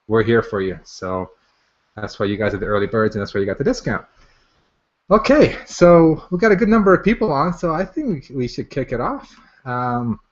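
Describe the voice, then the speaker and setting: Male webinar host, mid-pitched, informal and off the cuff, repeats himself, slightly muffled lo-fi sound. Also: a bit bright. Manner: informal, repeats phrases as he goes. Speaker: software maker hosting a product launch webinar. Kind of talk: casual unscripted live webinar presentation. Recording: dull, lo-fi webinar audio.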